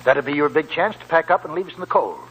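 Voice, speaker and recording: An actor playing a wily, cheerful old gold prospector with a gravelly, cackling voice and folksy, chuckling, drawn-out phrasing in a 1940s radio drama. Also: male voice, fairly high pitch, highs cut off, slight background noise, natural voice. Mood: wry, reflective. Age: older.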